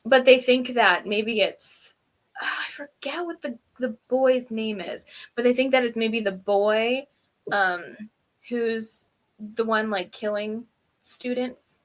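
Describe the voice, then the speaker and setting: High-voiced woman chatting through an episode recap. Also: slow. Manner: informal, animated retelling with acted-out quotes. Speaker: co-host of a TV episode review show.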